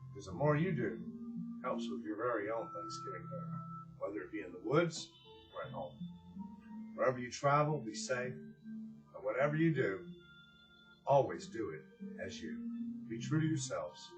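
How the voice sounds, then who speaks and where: A man reading aloud and hosting for children, with expressive, drawn-out phrasing and a gentle, encouraging way of addressing them. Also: fairly low pitch, music in the background, rough recording. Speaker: children's story reader and host.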